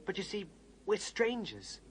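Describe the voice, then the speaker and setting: A man's voice: high voice, sing-song, a little slow, slight background noise, exaggerated voice. A male actor playing an earnest, polite, brave teenage elder brother in a children's fantasy drama. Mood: earnest, questioning. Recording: music behind the voice.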